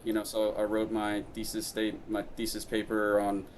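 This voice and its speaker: A man's voice: on the low side, completely flat delivery, moderate pace, darkish tone, a little hiss or hum. A man in his thirties from Brazil, a podcast guest telling his story in casual, rambling chat full of fillers and restarts.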